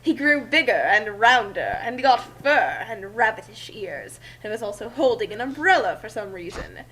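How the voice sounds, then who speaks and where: Bright, slightly high-voiced woman narrating. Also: big pitch swings, moderate pace, a little hiss or hum, poor audio. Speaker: narrator and co-reader. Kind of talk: dramatic amateur story narration with casual asides.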